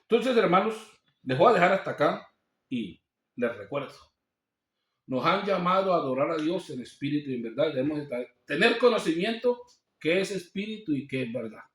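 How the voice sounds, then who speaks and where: A man's voice: a little high, big pitch swings, normal speed, a bit bright. A male pastor preaching a sermon, asking rhetorical questions and quoting scripture. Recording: echoey room.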